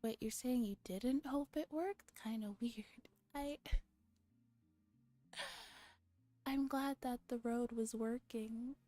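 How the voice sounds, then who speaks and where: A woman streamer chatting casually in a relaxed ASMR livestream, with playful, giggly banter between bits of reading a visual novel aloud. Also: a little high, takes it slowly, long pauses, no room sound. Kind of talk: casual livestream chat mixed with game read-aloud, ASMR.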